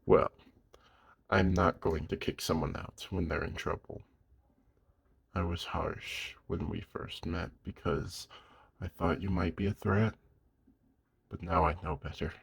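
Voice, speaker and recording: Old lone werewolf, played by a man: rock-bottom pitch, heavily raspy, weary, protective and tender under the gruffness, close ASMR audio drama. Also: steady tone, full character voice. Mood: gentle, protective. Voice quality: very deep, husky, gravelly. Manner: slow, hushed, with long pauses. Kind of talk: intimate ASMR audio roleplay.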